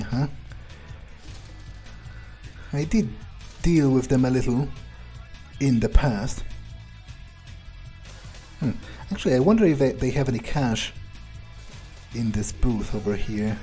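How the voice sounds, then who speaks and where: A man role-playing an arrogant, dryly amused vampire while playing a video game, musing aloud in a halting, deadpan way. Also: medium pitch, faint music behind, natural voice. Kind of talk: in-character video game playthrough, thinking aloud.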